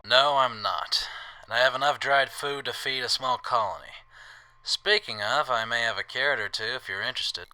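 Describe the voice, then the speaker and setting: A man playing a wry, roguish wasteland drifter with a soft heart in an audio drama. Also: mid-range voice, normal speed, clear, resonant tone, low-quality recording. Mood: gentle.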